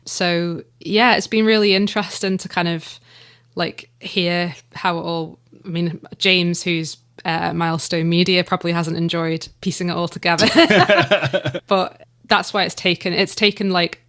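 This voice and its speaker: A woman's voice: fairly low pitch, steady tone, unhurried, a bit bright. A young woman from the Isle of Man with a Manx English accent, talking casually in an interview, chatty and hesitant with plenty of fillers. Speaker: writer and director of an audio drama, guest.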